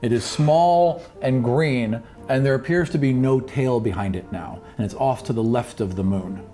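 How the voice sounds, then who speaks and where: A man acts as game master of a tabletop roleplaying game. His voice sits at an average pitch as he narrates, with faint music behind him. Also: normal speed, mellow.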